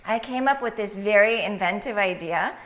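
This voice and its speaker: A woman's voice: medium pitch, normal speed, fairly bright tone, poor audio. Upbeat woman hosting a cooking segment. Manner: upbeat, laughs often. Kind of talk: casual cooking-show chat.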